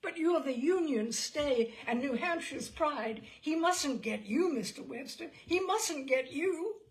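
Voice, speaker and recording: A woman's voice: high-pitched, expressive, slow pace, voice pushed up, exaggerated voice, rough recording. A woman voicing a frightened, desperate male farmer who pleads and groans with shaky courage in a story reading. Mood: desperate remorse. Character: terrified, desperate New England farmer, brave through his fear.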